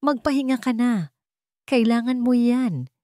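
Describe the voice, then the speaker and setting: A woman's voice: on the high side, measured pace, mellow, dry recording, clean studio sound, pitched above the performer's own voice, natural voice. A woman voicing a cold, commanding, wealthy businessman in a composed, curt way for an audio romance drama. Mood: calmer, commanding.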